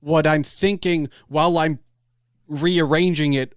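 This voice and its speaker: Man chatting casually, slightly high voice, close dry sound. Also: steady tone, measured pace, clean tone, no echo. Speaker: podcast host interviewing a guest. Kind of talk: casual conversational chat.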